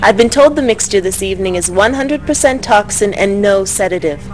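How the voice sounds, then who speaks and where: Woman as a female officer, crisp, confident. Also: mid-range voice, animated, a little fast, close to an everyday voice, rough recording. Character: female military officer and co-anchor. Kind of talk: game cutscene acting.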